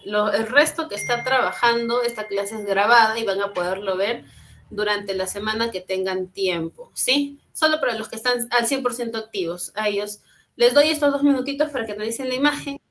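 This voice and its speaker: A woman's voice: on the high side, a bit bright. A woman teaching an online English class, patient and encouraging, repeating and translating phrases. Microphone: computer mic on a video call.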